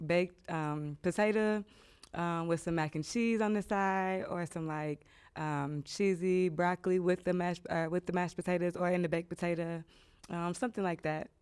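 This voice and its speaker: A woman contestant answering hesitantly, pausing to search for her words. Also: lowish voice, darkish tone, clear, resonant tone. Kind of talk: casual game show answers.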